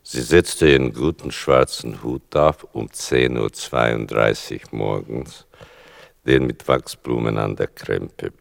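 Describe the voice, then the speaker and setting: Radio play narrator: exacting son, extremely low, slow and deliberate, gravelly. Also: male voice, dry recording, studio quality, full character voice. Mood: measured, solemn. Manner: deliberate, pedantic. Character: exacting son narrating his mother's story.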